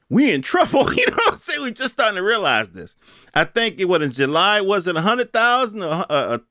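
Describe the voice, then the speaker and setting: A man's voice: on the high side, fairly bright tone, no echo, studio quality. An American man hosting a podcast, giving unscripted news commentary in an emphatic, colloquial, repetitive way with rhetorical questions. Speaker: podcast host.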